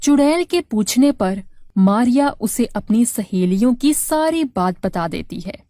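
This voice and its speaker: A woman's voice: fairly high pitch, lively intonation, measured pace, dark tone, dry recording, clean studio sound. A woman narrating a scripted moral story for children as a storyteller.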